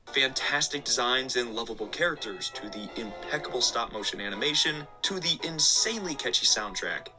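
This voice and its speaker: A man's voice: medium pitch, expressive, moderate pace, hardly any pauses. A man narrating a scripted video essay with casual asides. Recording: background music under the voice. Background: music.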